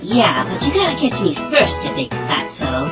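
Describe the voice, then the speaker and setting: A woman's voice: medium pitch, sing-song, normal speed, close to an everyday voice. A woman voicing a small, sarcastic, cheeky turtle minion in a comic book fan dub, mocking and exclamatory. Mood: gleeful, cheeky. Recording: music behind the voice.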